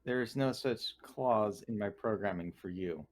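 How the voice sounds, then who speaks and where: A man voicing a literal-minded, coolly defiant android in a tabletop game, with formal, halting, precise speech. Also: lowish voice, natural voice.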